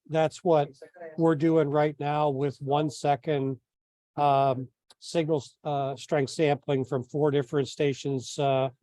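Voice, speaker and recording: A man taking part in a group teleconference, talking in a measured, courteous, businesslike way. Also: a little high, mellow, no echo. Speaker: meeting participant. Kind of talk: discussion on an online group call. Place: remote teleconference.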